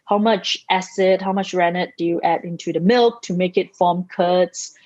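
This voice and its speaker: A woman from Singapore, a company founder, telling her career story in a podcast interview, measured with small restarts. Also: lowish voice, steady tone, a little mellow, no echo. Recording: dry, muffled, lo-fi sound.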